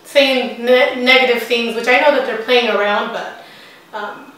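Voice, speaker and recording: A woman answers interview questions in a casual, hesitant way, full of fillers and restarts. Her voice sits a little high and comes from some distance off the mic. The room around her is very echoey. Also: fairly even intonation, unhurried, fairly bright tone.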